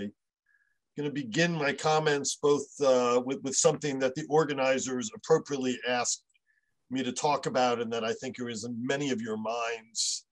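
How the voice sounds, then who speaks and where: Middle-aged American rabbi, medium-pitched voice. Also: male voice, rough recording. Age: 50s. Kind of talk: prepared remarks to a congregation. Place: room at home, indoors. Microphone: computer mic on a video call.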